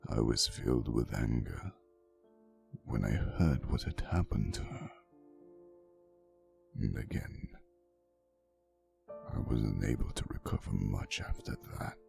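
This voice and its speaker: A man acts out an ancient vampire boyfriend in an intimate ASMR roleplay, devoted and slightly possessive. His voice is extremely deep, with heavy gravelly fry. He tells his tale softly and theatrically, with swooping intonation and old-fashioned phrasing. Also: lively intonation, over music, heavily acted. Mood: sorrowful. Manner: soft, unhurried, theatrical, old-fashioned wording.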